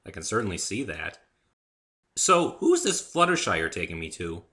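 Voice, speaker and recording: Man voicing earnest male story hero, curious, bantering, polite, bright animated speech. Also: low voice, moderate pace, exaggerated voice. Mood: friendly, polite. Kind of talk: dramatic story reading.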